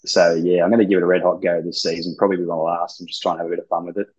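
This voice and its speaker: Laid-back husky low-voiced man. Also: little pitch movement, very fast, darkish tone. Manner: laid-back, rambling, swears casually. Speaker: gym founder and ice hockey player, guest. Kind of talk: casual interview chat. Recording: dull lo-fi sound.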